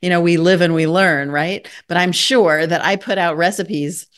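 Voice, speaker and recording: A woman's voice: lowish voice, normal speed. A woman hosting an interview, asking questions and praising her guest with enthusiasm. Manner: enthusiastic, effusive. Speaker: host. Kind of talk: interview podcast chat.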